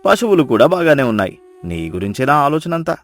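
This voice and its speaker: A man voicing a hearty, friendly visiting village in-law in a moral story, loud and exclaiming in a folksy way. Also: mid-range voice, very animated, fairly quick. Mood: friendly, grateful. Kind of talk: storybook dialogue acting.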